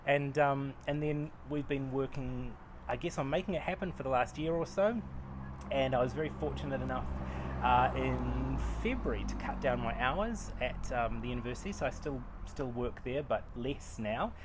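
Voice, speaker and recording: A man in his forties with a New Zealand accent, talking casually about his work as a researcher and acupuncturist, relaxed and self-deprecating with many fillers, recorded outdoors on a veranda with a lapel mic. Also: medium pitch, normal speed, background noise. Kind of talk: casual interview answers.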